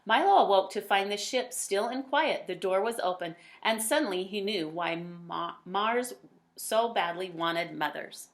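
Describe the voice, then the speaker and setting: A woman reads a picture book aloud in a mid-pitched voice. She is an expressive storyteller who leans on key words for drama and stretches some of them out. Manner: dramatic emphasis with drawn-out words.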